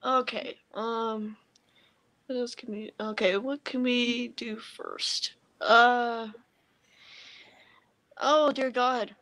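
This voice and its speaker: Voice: mid-range voice, fairly even intonation, very slow, long pauses, fairly bright tone. A hesitant speaker thinking aloud while casually hosting a guessing game on a video call. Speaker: co-host of an online puppet show.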